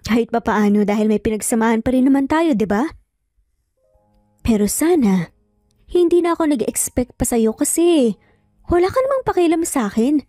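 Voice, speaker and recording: A woman's voice: a little high, expressive, moderate pace, pauses often, warm tone, dry recording, studio quality, faint music behind, lightly acted. A woman voicing an exasperated, proud Filipino woman lawyer and mother in a story, sarcastic and reproachful. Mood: hurt, annoyed.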